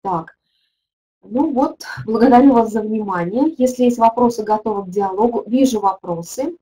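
A woman's voice: fairly high pitch, normal speed, dark tone, poor audio. A middle-aged woman, a teaching methodologist, leading a webinar and answering viewer questions, thinking aloud with frequent hesitations.

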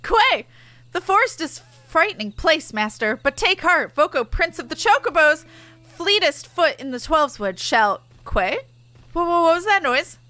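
A woman's voice: very high voice, big pitch swings, measured pace, pushed and bright, dry recording, voice pushed up, heavily acted. A woman voicing a pompous, cowardly male bird prince from a fantasy game, proclaiming grandly with squawks. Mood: boastful, jittery.

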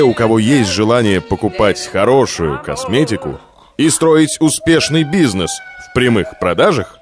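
A man reading a scripted corporate promotional narration in measured, deliberate phrases. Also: medium pitch. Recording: music under the voice. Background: music.

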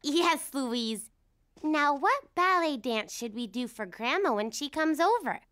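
A very high, highly animated voice of a young girl bunny in a children's cartoon. She is cheerful and bossy, explaining things earnestly at an unhurried pace. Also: full character voice. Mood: cheerful, encouraging. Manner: organizing, instructive, earnest.

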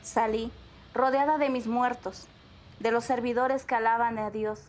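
A woman reciting a poem in a slow, solemn, declamatory way. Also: on the high side, steady tone, a little hiss or hum. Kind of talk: poetry recitation.

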